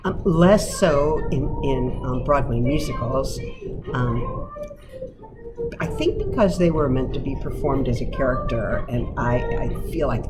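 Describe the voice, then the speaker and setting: Low-voiced woman chatting over loud music. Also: wildly swooping pitch, unhurried, poor audio. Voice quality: lower voice. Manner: chatty, self-deprecating, laughs often. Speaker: cabaret singer, guest. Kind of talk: casual radio interview. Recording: music playing loudly underneath.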